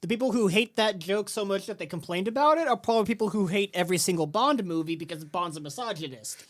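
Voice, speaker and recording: A woman's voice: mid-range voice, fast talker. A woman co-hosting a movie podcast, chatting casually and acting out lines. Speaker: podcast co-host.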